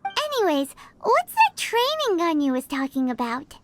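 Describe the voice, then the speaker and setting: A woman voicing a tiny, cheeky, childlike fairy companion in a video game, with a squeaky, bubbly, exclamatory delivery. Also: wildly swooping pitch, measured pace, no room sound, exaggerated voice. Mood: indignant, curious. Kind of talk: video game character acting. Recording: music behind the voice. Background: soft game music.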